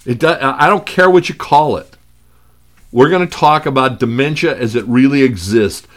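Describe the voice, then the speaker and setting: A man with a gravelly voice, hosting a talk show and speaking in a halting way with many fillers and restarts. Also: medium pitch, a bit bright. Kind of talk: conversational radio talk.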